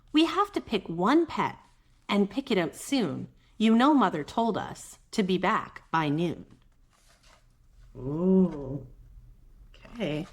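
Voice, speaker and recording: A woman with a soft voice reads a picture book aloud to young children, gentle and expressive, with chatty asides to her listeners. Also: medium pitch. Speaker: children's read-aloud host; mother.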